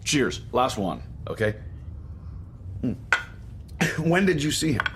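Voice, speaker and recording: Fretful, animated professor. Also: male voice, mid-range voice, slow, slight background noise. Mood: curious. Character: fussy, polite, mild-mannered male professor. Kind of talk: conversational audio drama acting.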